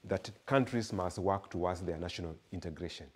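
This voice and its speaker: A Kenyan man giving an academic lecture and answering audience questions, measured and searching for words as he talks. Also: low-pitched, a little hiss or hum. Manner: measured, repeats words while searching for them. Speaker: guest lecturer.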